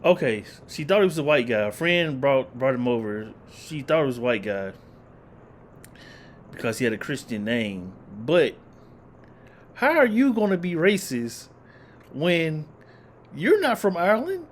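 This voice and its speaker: Guy doing a reaction vid, lively up and down voice, bit of hiss behind him, keeps repeating questions. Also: a little high, measured pace, long pauses. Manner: animated, incredulous, repeats himself. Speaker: reaction video host. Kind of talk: casual reaction commentary.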